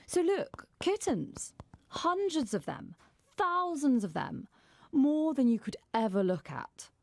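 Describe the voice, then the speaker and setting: Woman voicing a confident, pushy young girl, pitched up. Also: very animated, creaky, dry recording, exaggerated voice. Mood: eager. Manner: brisk, bossy, showing off. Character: confident, pushy, tech-loving young girl, easily bored. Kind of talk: children's storybook character voice.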